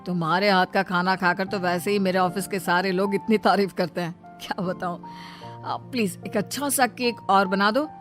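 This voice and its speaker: A woman's voice: mid-range voice, fairly even intonation, normal speed, fairly bright tone, faint music behind, natural voice. A woman voicing a young office-going husband in a cartoon moral story, with a pleading, easily exasperated manner. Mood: friendly, coaxing.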